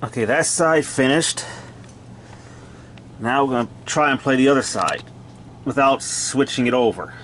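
A man with a mid-pitched voice demonstrates equipment in a casual, hands-on way. He speaks in terse, clipped phrases and keeps it matter-of-fact. It is recorded at home on a handheld camera. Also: a little slow, pauses often, a bit bright, slight background noise. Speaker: gadget demonstrator. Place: indoors, cluttered home room.

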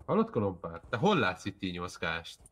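A man's voice: deep voice, huge pitch swings, a bit bright. A man talking casually to fellow players in an online role-play game.

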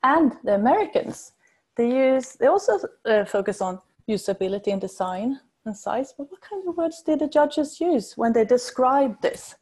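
Husky enthusiastic woman with Swedish accent. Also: on the high side, animated, a little mellow. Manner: enthusiastic, halting, searching for words. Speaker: researcher presenting. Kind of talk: conference presentation. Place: indoors at home or office, on video call.

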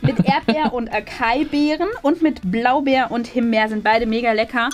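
A high-pitched woman hosts a casual vlog. She chats to the camera in an enthusiastic, gushing way. Faint music plays behind her. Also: steady tone, normal speed. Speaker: vlog host.

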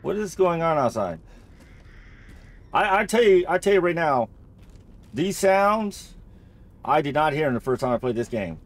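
A man's voice: on the high side, very animated, normal speed, pauses often. A man giving casual, rambling and jokey commentary as he plays a video game, often repeating himself. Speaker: gamer narrating a playthrough.

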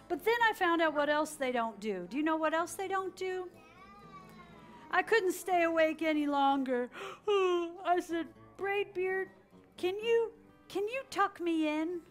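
A woman storyteller reading aloud to young children in a dramatic, animated way, prompting them to join in. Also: high-pitched, unhurried, faint music behind. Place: children's library story room with an audience, indoors.

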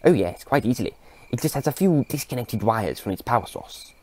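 A man playing an excitable, erudite old male scientist in an audio drama, with a fussy, courteous, delighted manner. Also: mid-range voice, a little fast, close to an everyday voice. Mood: matter-of-fact.

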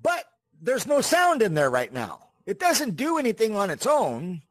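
Male tutorial host, high voice, lively intonation, loose rambling explanations with repeated words and check-in questions. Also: normal speed, no room sound, clean studio sound. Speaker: host of a video game tutorial channel. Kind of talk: casual spoken tutorial with asides.

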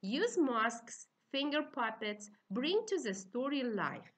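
A middle-aged woman with an Uzbek accent, lecturing to trainee teachers in simple, halting, instructive phrases. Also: on the high side, a bit bright. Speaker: university teaching-methods lecturer.

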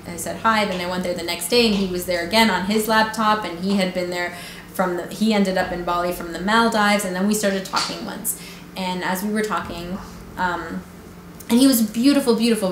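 Casting: woman, 30s, somewhat low register, casual testimony speaker, conversational and halting, recorded in a reverberant room. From Canada. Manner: informal and halting, peppered with "like" and "you know". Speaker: guest giving a personal testimony. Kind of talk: casual personal storytelling. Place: indoor room with fireplace, seated in armchair. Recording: roomy echo with faint background noise.

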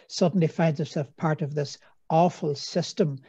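Husky-voiced Irish man on a dull webcam line. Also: fairly high pitch, fairly even intonation, moderate pace, dark tone. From Ireland. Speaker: guest interviewer. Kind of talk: conversational interview over video call. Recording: muffled husky webcam audio.

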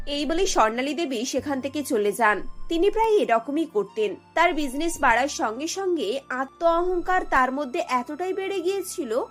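A woman's voice: high-pitched, lively intonation, moderate pace, soft background music. A woman reading scripted narration for a cartoon family story.

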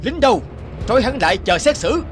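A man's voice: extremely high pitch, sing-song, brisk, soft background music. Imperious, stern cartoon king. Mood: stern anger. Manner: imperious, declamatory. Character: stern, authoritative king judging a corrupt official. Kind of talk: animated folk-tale dubbing.

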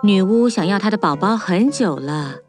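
A woman narrates a children's fairy tale from a script. Her voice sits at a medium pitch, with music playing clearly behind it. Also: animated, moderate pace, darkish tone.

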